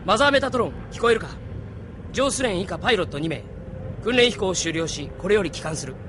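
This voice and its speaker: A man playing a stern pilot instructor in a Japanese audio drama, with a brisk, no-nonsense military manner. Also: high voice, expressive, background noise. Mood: businesslike.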